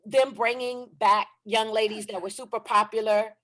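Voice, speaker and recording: Chatty animated woman on a video call. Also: on the high side, moderate pace. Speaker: voice actor. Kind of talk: casual video call chat. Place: home in Los Angeles.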